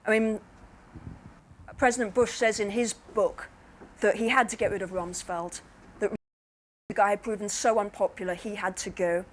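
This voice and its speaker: A woman at a public talk answers questions from the floor at a middling pitch. She explains in plain, reflective terms and takes her time. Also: fairly even intonation, moderate pace, pauses often, slight background noise. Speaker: invited speaker answering audience questions. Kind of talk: measured Q&A answers.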